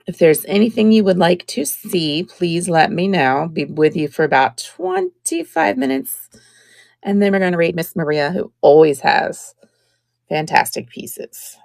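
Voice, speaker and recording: Slow, gravelly-voiced woman host. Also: lowish voice, big pitch swings, no echo. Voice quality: scratchy. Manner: slow, chatty, drops to soft whispers while showing pieces. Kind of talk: casual live-stream selling chat.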